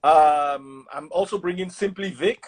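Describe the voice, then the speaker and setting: A man hosting a live audio chat room, briskly calling guests onto the line. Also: fairly high pitch. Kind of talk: casual live moderating.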